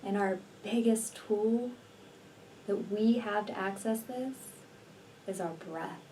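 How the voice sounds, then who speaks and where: A woman guides a healing meditation in a mellow, relaxed voice. She speaks slowly and soothingly, letting long pauses sit between deliberate phrases. The recording sounds a little distant, with faint noise behind her. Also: mid-range voice. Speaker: energy healer and meditation guide.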